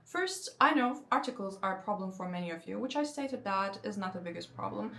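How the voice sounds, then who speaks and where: A woman in her twenties, a native Turkish speaker, explaining language learning in a casual, lively way and slowing down for example sentences. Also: medium pitch, vocal fry. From Turkey. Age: 20s. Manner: lively, conversational, slows down to spell out example sentences. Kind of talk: casual explanatory talk to camera.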